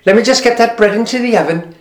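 A storyteller voicing a busy housewife who talks high and stammers when flustered. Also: fast, lightly acted. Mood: cheerful. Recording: roomy echo.

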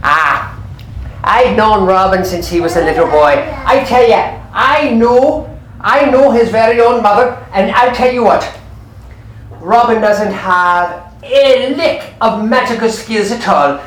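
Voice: mid-range voice, a little slow, lightly acted. A storyteller plays a chatty cook, a village woman from a folk tale. She is folksy and easily impressed, talking in an echoey room. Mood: confident, dismissive.

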